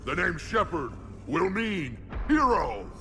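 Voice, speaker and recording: A man's voice: sits high, very animated, takes it slowly, exaggerated voice. A man voicing a battle-hardened alien warlord in a video game, blunt, commanding and loyal. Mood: solemn, rousing.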